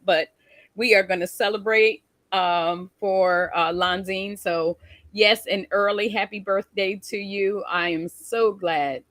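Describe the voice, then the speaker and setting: A woman guest on a video call, introducing herself hesitantly with many pauses. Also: medium pitch, dry recording. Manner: hesitant, warm, many fillers.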